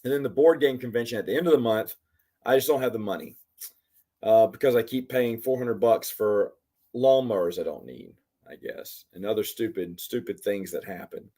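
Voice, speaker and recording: Guy in his 40s chatting on a live q&a, voice a bit hoarse, rambles on and on. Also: fairly low pitch, moderate pace, dark tone. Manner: rambling, chatty, talks to commenters by name. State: losing his voice. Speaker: livestream host. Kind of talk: casual live Q&A chat.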